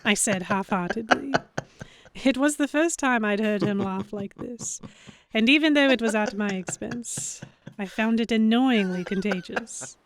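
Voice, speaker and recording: A woman playing a young, flustered heroine who narrates a pirate romance in the first person, with expressive dramatic delivery. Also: fairly high pitch, measured pace, no echo.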